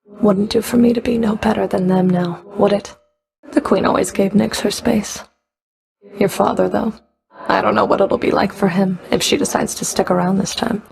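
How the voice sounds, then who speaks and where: A stern Fury who enforces the rules of the underworld in a video game. Her mid-pitched voice carries a marked creak. She speaks in a cool, clipped manner with dry, understated sarcasm. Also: steady tone, moderate pace, darkish tone. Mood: guarded, cool. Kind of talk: video game character voice acting.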